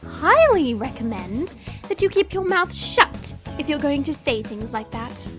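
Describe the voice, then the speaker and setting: A woman's voice: high-pitched, big pitch swings, a little slow, music in the background. A woman playing a proud, guarded dragon princess in human form, speaking in a formal, imperious way in an audio fantasy drama. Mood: stern, commanding.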